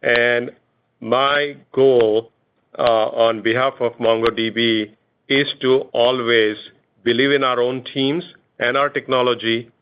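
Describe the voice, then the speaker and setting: A man's voice: mid-range voice, fairly even intonation, slow pace, clean tone. Man executive on a muffled call. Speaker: company chief executive answering analysts. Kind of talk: earnings call remarks. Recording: dull lo-fi conference call.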